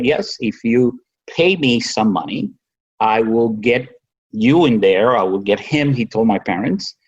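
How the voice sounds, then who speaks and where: A man in his sixties with a Cuban accent, a native Spanish speaker, telling his own story conversationally and haltingly. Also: lowish voice, husky, muffled recording. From Cuba. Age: 60s. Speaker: podcast guest, physician.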